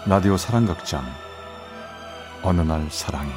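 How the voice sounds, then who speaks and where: A man's voice: low voice, moderate pace, over loud music. A man reading a scripted, sentimental introduction as the announcer of a radio drama programme.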